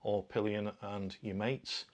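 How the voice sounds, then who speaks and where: A man's voice: on the low side, steady tone, takes it slowly. A man presenting a product in a casual, informal way, explaining things step by step as he demonstrates. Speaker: product review host. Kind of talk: casual unboxing and fitting demonstration.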